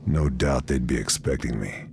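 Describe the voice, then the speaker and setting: Very deep, grim American sniper. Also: male voice, normal speed, creaky, noisy background. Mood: terse, resolute. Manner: terse, laconic, world-weary. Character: hardened, grim American OSS sniper officer. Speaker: narrator and protagonist. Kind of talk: noir-like scripted narration in a war game.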